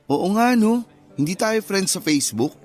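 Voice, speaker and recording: A man's voice: sits high, expressive, moderate pace, faint music behind. A man acting a friendly, earnest young suitor with a Filipino accent in a radio romance drama.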